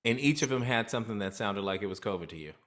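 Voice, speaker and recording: A man hosting an interview, asking eager, animated questions into a studio mic. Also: lowish voice, fast talker. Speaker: host. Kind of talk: casual interview. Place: home studio room indoors. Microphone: large studio condenser mic on desk.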